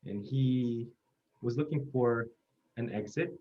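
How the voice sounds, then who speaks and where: A man's voice: mid-range voice, warm tone. Earnest man in his thirties, muffled. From South Korea. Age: 30s. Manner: earnest, measured storytelling. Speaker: oral history interviewee. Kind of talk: reflective oral history interview. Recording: muffled lo-fi recording.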